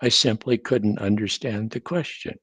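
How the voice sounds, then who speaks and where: A man in his seventies from North Dakota, telling stories as a guest in a casual conversation, measured with a dry humor and frequent restarts. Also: lowish voice, a bit bright, husky, no room sound. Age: 70s.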